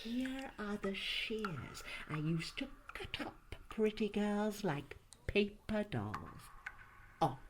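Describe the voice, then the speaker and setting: A woman's voice: mid-range voice, expressive. An actress in a horror audio play, playing a cruel, gloating old noblewoman murderess with a theatrical, sinister delivery that drops into hisses. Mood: gloating, menacing. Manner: theatrical, sinister, drawn-out, dropping to hissed whispers.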